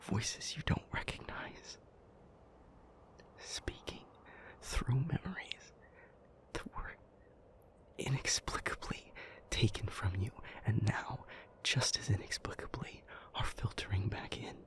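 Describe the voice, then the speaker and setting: A man playing an intense, anguished demon in an intimate audio roleplay, speaking in breathy, halting, deliberate phrases. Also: on the low side, lively intonation. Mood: hushed, reasoning. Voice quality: breathy, mostly whispered. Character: intense, anguished male demon, brooding and reasoning aloud.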